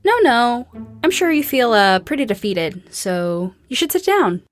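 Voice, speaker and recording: A woman's voice: fairly high pitch, sing-song, unhurried. An actress playing a sarcastic young female teacher in a post-apocalyptic audio drama, casual and dry-witted. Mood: teasing, friendly.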